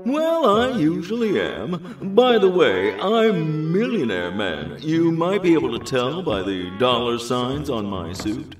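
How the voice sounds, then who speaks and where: A man's voice: fairly high pitch, big pitch swings, slow pace, hardly any pauses. An actor playing a vain, pompous male superhero in a comedic audio drama. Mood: self-assured. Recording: roomy echo with faint music behind.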